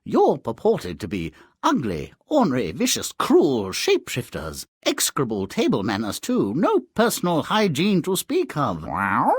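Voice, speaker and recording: A man voicing a sly, flattering trickster cat servant for a fairy tale video game, with oily mock politeness and purring insinuation. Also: medium pitch, measured pace, husky, dry recording, clean studio sound, exaggerated voice.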